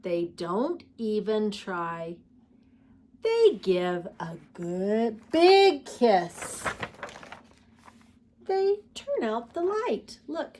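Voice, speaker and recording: A woman with a fairly high voice reads picture books to small children. Her delivery is unhurried and very animated, with sing-song phrasing and questions put straight to the young listeners. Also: a little hiss or hum. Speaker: children's storytime host.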